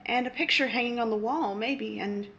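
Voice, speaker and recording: An actress playing an earnest, wistful young wife on trial in a 1950s radio melodrama. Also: female voice, on the high side, moderate pace, muffled recording, natural voice. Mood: wistful, pleading.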